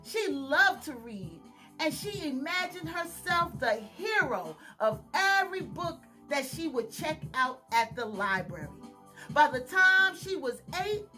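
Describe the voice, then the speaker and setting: A woman reads a picture book aloud to children in a very bright, high voice. She takes her time, stretching words in an exaggerated singsong and addressing the listeners with questions. Faint music plays behind her. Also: very animated, a little slow. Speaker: children's storytime host, author. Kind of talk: animated read-aloud storytelling to children.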